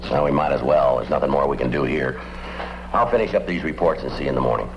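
An actor playing a dry, unflappable police sergeant in an old-time radio drama, speaking in a matter-of-fact, clipped way. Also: male voice, rumbling low, fast talker, slight background noise. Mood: wry, deadpan. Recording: muffled old radio recording with music.

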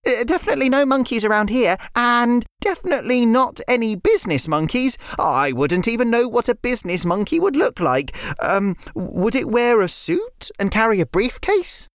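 Woman playing an excited little girl, very high, bouncy pitch, dry close voice. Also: dry recording. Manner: excited, breathless, fast-thinking. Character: quick-thinking little girl.

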